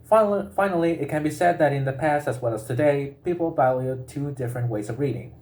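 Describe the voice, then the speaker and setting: A man reads a prepared informational text aloud in a halting way, often breaking off in the middle of words and repeating them. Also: on the high side, a little mellow.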